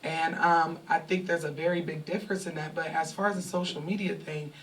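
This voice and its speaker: Woman in her 30s from california telling her story to a crowd, lively, laughs a lot, voice a bit distant from the mic. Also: low voice, fairly quick, slight background noise. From Sacramento, California. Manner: animated, laughing, loud bursts of excitement. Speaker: online creator speaking at fan event. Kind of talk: candid talk to a live audience. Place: event venue with audience.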